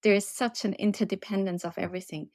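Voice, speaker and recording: A woman from Germany answers interview questions in an earnest, measured way, with gentle emphasis. Her voice sits at a middle pitch. The sound is dry and close, with no room echo. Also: steady tone. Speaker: resilience and leadership trainer, guest. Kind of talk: reflective podcast interview answers.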